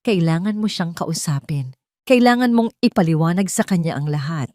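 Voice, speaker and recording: A woman's voice: lowish voice, lively intonation, normal speed, darkish tone, dry recording, studio quality, lightly acted. A woman voicing a wealthy older mother in an audio love drama, protective, consoling and insistent.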